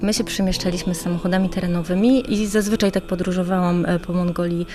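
A woman's voice: on the low side, steady tone, quick pace, darkish tone, soft background music. A woman talking conversationally as a travel guide in a radio interview, chatty and explanatory in long, rambling sentences.

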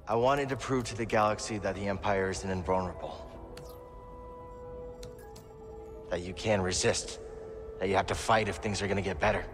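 An actor playing a weary, earnest young Jedi rebel in a video game, speaking seriously. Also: male voice, sits low, quick pace, music in the background, lightly acted. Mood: earnest, troubled. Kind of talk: cinematic video game acting. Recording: long hall reverb, game score behind.

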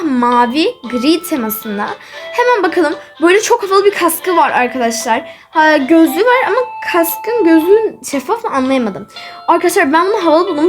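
A woman hosting a video game channel, giving casual preview commentary in a chatty, gushing way as she addresses her viewers. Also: very high voice.

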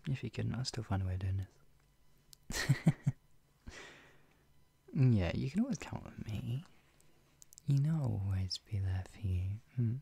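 Breathy, creaky, doting lover voiced by an actor of unclear sex. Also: medium pitch, exaggerated voice. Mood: tender, soothing. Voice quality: breathy, husky, often dropping into whispers. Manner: teasing, giggly, hesitant murmuring with soft hums. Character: doting, playful, flustered lover tenderly caring for an ill pet-named partner. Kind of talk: intimate roleplay ASMR.

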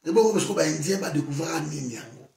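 A man in his thirties with a Congolese French accent and Lingala as his first language, on a sofa in an echoey room, set a little back from the microphone. His voice sits slightly high, and he argues his point with mounting passion, at times rising to a shout. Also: lively intonation, fast, creaky. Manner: switches between French and Lingala, grows heated and shouting. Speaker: political vlogger. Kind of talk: impassioned political monologue to camera. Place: indoor room, seated on a sofa. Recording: echoey room, voice a bit far from the mic.

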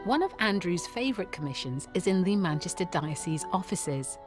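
A woman reading scripted voice-over narration for a TV programme, smooth and even. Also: lowish voice, normal speed. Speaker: TV presenter. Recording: faint music bed underneath.

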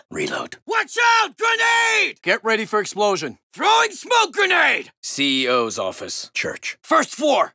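An actor voicing an armored male shield operator in a video game, giving terse tactical callouts that swing between low murmurs and shouts, recorded dry in a studio booth. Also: gravelly, breathy edge, dry recording, exaggerated voice. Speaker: game character voice. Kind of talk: game voice lines.

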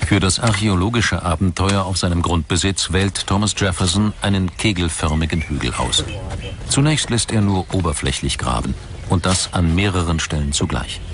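A man narrating a scripted documentary in a measured, storytelling delivery. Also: low-pitched, noisy background. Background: music.

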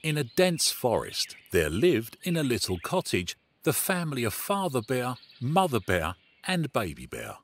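Very animated male storybook narrator. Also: medium pitch, unhurried, slight background noise. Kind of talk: scripted children's storytelling. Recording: music behind the voice.

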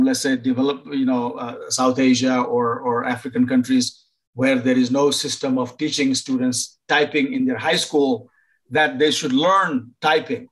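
An older man from Nepal, a professor advising international students over a video call, pausing often to find words. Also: mid-range voice, rough recording. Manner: halting, many fillers and restarts. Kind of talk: informal online presentation.

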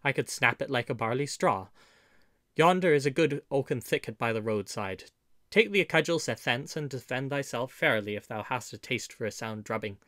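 A man's voice: mid-range voice, animated, moderate pace, a bit bright, no echo, clean studio sound, natural voice. A man voicing a bold, mock-courteous outlaw leader in a storybook, with florid, commanding, archaic speech. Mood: stern. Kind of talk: audiobook storybook character.

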